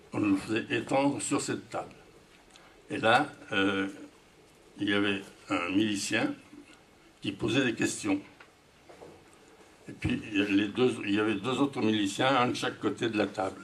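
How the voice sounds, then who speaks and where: A man in his nineties speaks to a school class in a low, unhurried voice. He tells his testimony slowly and deliberately, pausing often as he goes. A faint hum of room ambience sits behind him. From France. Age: 90s. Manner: slow, deliberate storytelling with frequent pauses. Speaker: wartime witness speaking to students.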